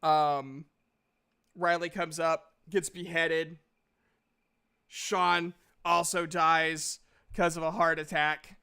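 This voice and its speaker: A male game master with a fairly high, clean and forceful voice. He narrates a tabletop fantasy game casually, making up the action as he goes in halting phrases. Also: flat delivery, creaky, smooth.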